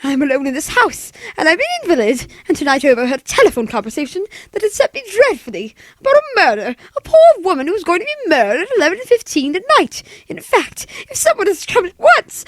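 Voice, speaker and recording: Scared bossy sick wife home alone, old radio thriller, super high voice, dry close sound. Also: female voice, very animated, quick pace, hardly any pauses, no echo. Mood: upset, pleading. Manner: imperious, nervous, dramatic. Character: frightened, demanding invalid wife alone at night.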